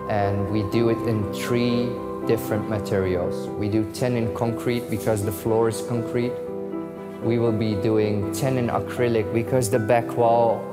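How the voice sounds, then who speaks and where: A man's voice: fairly low pitch, flat delivery, measured pace, over loud music. Dutch-raised man touring his new store. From Netherlands. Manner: enthusiastic storyteller, acts out phone calls. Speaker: store owner giving a tour. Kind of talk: casual walkthrough narration. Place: inside a large shop.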